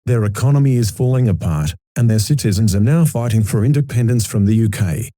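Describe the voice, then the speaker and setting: A man's voice: fairly low pitch, animated, a little fast, dark tone, dry recording, clean studio sound, close to an everyday voice. A man playing a serious political analyst, giving a scripted live news report in a formal, matter-of-fact way.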